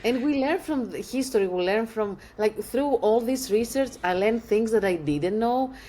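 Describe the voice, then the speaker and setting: A woman's voice: fairly high pitch, expressive, normal speed, dark tone. Female guest from Greece, English, thoughtful, word-searching. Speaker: filmmaker guest. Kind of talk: casual interview answers.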